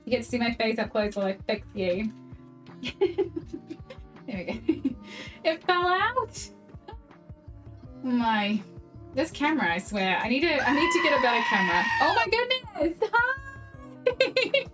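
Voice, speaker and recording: A woman's voice: very animated, unhurried, pauses often, a bit bright, soft background music. Bubbly New Zealand woman streaming her crafting. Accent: New Zealand English. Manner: bubbly, laughs often, greets chatters in a high sing-song. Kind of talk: casual live-stream chat while crafting.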